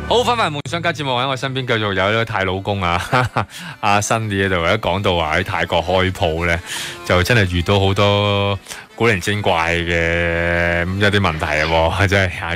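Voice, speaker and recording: A man's voice: low-pitched, pushed and bright. A man with a Hong Kong Cantonese accent hosting a conversational radio interview, inquisitive and echoing back what he hears. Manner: inquisitive, echoes back what the guest says. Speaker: radio host. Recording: music playing behind the voice. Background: background music.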